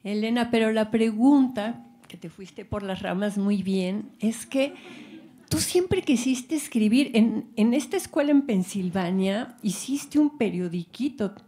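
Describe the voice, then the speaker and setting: A woman moderating a public question session, chiming in briskly and with light teasing. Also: a little high, lively intonation, warm tone.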